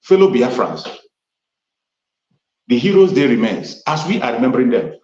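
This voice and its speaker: Rallying male speaker, raised and very animated pitch; roomy lo-fi sound. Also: normal speed. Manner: emphatic, rallying, sweeping pitch. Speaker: speaker on a live stream. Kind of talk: impassioned address.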